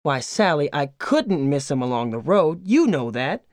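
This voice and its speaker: A man's voice: on the high side, very animated, moderate pace, no room sound, clean studio sound. A man doing a worried old farmer. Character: worried, mild-mannered older farmer husband.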